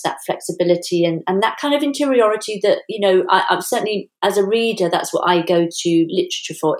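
Lowish-voiced woman in an echoey room. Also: a little mellow. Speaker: guest novelist and writing teacher. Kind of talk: thoughtful literary podcast conversation. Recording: roomy, echoey sound.

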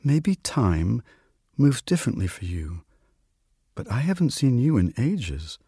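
A man voicing a friendly, wistful old lady in a story reading, with a gentle, chatty manner. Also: medium pitch, big pitch swings, unhurried, husky, dry recording, clean studio sound, full character voice. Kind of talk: literary story reading with character dialogue.

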